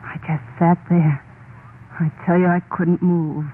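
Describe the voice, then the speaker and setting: An actress playing a haunted, unhinged wife confessing to murder in an old radio horror play, intense and halting, insistently repeating herself. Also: female voice, fairly low pitch, little pitch movement, lightly acted. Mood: dread. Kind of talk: old-time radio horror monologue, dramatic first-person narration. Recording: tinny, band-limited old radio recording, faint noise.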